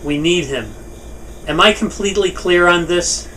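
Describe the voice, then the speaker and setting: A man's voice: sits high, moderate pace, pushed and bright. A man playing an angry, domineering shop boss with a scolding, sarcastic manner in a table read. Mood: stern, threatening. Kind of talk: table read of a film scene. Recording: distant mic, noisy room.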